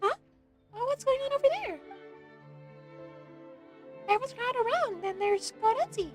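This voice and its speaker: A woman voicing a tiny, squeaky, excitable fairy companion in a video game. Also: normal speed, over music. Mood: curious, excitable.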